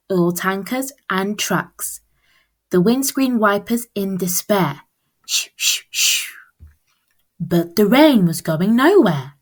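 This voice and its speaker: A woman reading a children's picture book aloud as storyteller, with expressive, deliberate phrasing. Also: mid-range voice.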